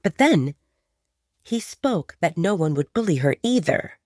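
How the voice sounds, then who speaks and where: A woman's voice: on the low side, very animated, unhurried, a little mellow, dry recording, studio quality. A woman reading scripted narration as the storyteller of an audio drama.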